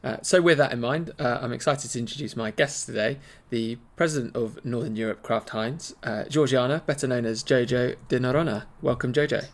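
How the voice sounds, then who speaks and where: A man's voice: medium pitch, a little fast, hardly any pauses. A man hosting a business podcast, asking questions in a friendly way with plenty of fillers. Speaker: podcast host. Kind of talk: business interview.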